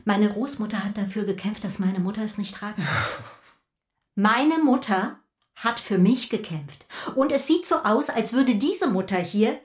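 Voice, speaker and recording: A woman's voice: mid-range voice, animated, a little slow, lightly acted. An actress playing an exasperated, indignant middle-aged mother in a radio drama, dramatic and reproachful. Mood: reproachful, bitter. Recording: echoey room.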